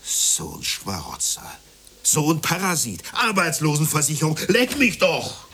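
A man's voice: on the high side, wildly swooping pitch, a little slow, pushed and bright, slight background noise, exaggerated voice. An actor playing a bitter, jealous working-class man in a radio play, scornful and ranting with emphatic delivery. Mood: angry, contemptuous. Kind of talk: naturalistic radio play dialogue.

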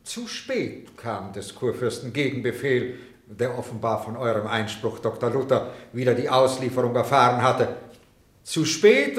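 Ein Schauspieler spielt in einem deutschen Hörspiel einen pflichtbewussten, innerlich zerrissenen sächsischen Hofrat, der förmlich, stockend und eindringlich spricht. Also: male voice, mid-range voice. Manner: formal, halting, emphatic. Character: conscience-torn, dutiful male Saxon court councillor. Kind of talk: German radio play acting. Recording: roomy, echoing courtroom sound.

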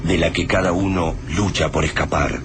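A man narrating a radio play in a grave, measured voice. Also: low-pitched, fairly even intonation, noisy background.

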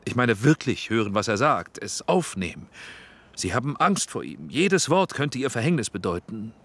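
An actor playing a cautious middle-aged male film director who speaks in a halting, diplomatic way. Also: medium pitch, very animated, a bit bright, husky, exaggerated voice. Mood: hesitant. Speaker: dialogue director on set. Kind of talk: radio drama acting.

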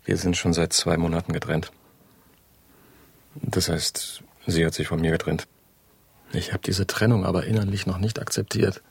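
A man's voice: deep voice, little pitch movement, normal speed, gravelly, long pauses, breathy edge, dry recording, exaggerated voice. An actor in a radio drama playing a brooding, pleading husband obsessed with his estranged wife, insistent and reproachful.

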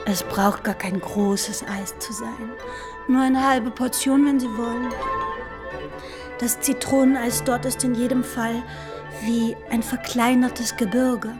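Sensual young woman, high voice, unhurried, savoring, eloquent, playful, radio drama. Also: fairly even intonation. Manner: savoring, eloquent, florid phrasing. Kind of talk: literary radio drama acting. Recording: studio radio play with music underneath.

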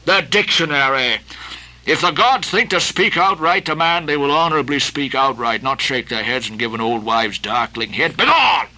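A man voicing an old, obsessed sea captain, declaiming archaic lines with booming passion. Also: expressive, moderate pace, sharp tone, rough recording. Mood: defiant. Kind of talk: audiobook character voicing.

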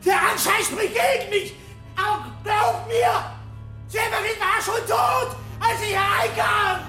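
A desperate monk in a radio play, screaming at an extremely high pitch. His panicked protests are drawn out and echo through the room. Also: fairly even intonation, creaky, sharp tone, raised voice, faint music behind, heavily acted. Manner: shrieking, drawn-out, panicked pleading. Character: desperate monastery cellarer, seized and protesting his innocence. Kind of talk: German radio drama acting.

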